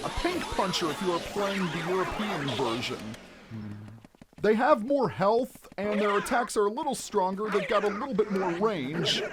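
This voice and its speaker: A gaming video host giving chatty, expressive let's play commentary and explaining things clearly as they go. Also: medium pitch, normal speed, heavy background noise. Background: game music.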